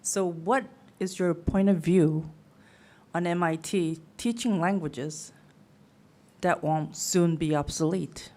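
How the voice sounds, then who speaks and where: A woman's voice: lowish voice, slow, warm tone. A woman in her sixties whose first language is Korean, asking a long, rambling, chatty question from the audience of a lecture. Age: 60s. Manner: rambling, chatty, laughs at herself.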